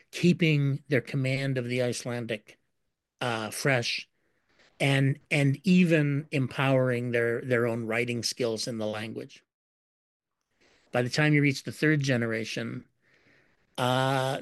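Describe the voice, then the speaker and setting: Measured man, dry close sound. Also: mid-range voice, dry recording. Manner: measured, many fillers and repeated words. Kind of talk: interview answer, explanatory.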